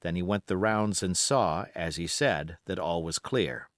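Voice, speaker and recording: A man reading literary narration as an audiobook, expressive and measured in his storytelling. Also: deep voice, no echo, studio quality.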